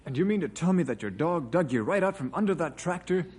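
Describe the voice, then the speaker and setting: An actor playing a curious, impressed questioner in an old-time radio drama. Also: male voice, a little high, lively intonation, fast, a little mellow, husky.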